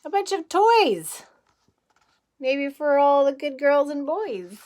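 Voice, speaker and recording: A kindergarten teacher, a woman, reading a picture book aloud to young children, gently and expressively, pausing to ask them questions. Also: high voice, very animated.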